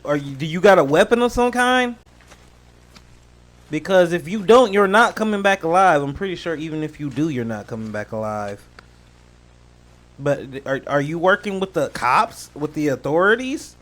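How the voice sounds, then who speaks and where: A man's voice: on the high side, big pitch swings, normal speed, fairly bright tone. A man doing casual reaction commentary as a host, slangy and joking, drifting into rambling asides.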